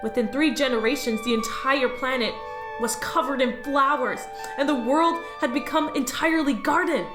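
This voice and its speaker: A woman's voice: sits high, moderate pace, close to an everyday voice. A woman voicing an eager rookie alien office clerk who loves reading a strange story aloud and begs a coworker for more time. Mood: dreamy. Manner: dreamy storytelling, pleading with coworker. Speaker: voice actor. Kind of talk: audio drama acting. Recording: roomy echo over loud music.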